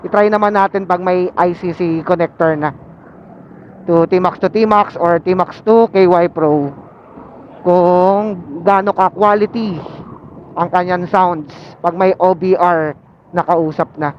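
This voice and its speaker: A man's voice: high-pitched, fairly even intonation, slow pace. A Filipino man chatting casually as a motovlogger while riding, mixing English phrases into his talk through a helmet intercom mic. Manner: code-switches into English phrases, filler-laden. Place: on a motorcycle in city traffic. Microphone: Bluetooth helmet intercom linked to action camera. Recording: muffled helmet intercom mic with vehicle noise. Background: faint vehicle and road noise.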